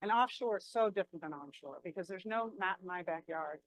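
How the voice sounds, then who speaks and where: A woman's voice: lowish voice, brisk, dry recording, rough recording. Woman presenter, conversational Q&A, repeats words on restarts, dry close sound. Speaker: presenter answering audience questions.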